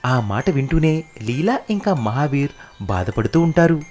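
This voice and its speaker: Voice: medium pitch, big pitch swings, unhurried, a little mellow, soft background music. A narrator reading scripted moral stories for children.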